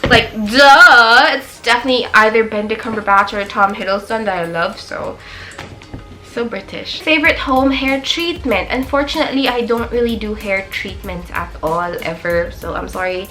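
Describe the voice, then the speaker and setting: A woman's voice: fairly high pitch, moderate pace, sharp tone, soft background music. A twenty-year-old Filipino woman with a Filipino accent, chatting casually as she answers questions for her beauty vlog, mixing English and Tagalog. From Philippines. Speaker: beauty vlogger. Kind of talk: casual Q&A chat. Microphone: camera.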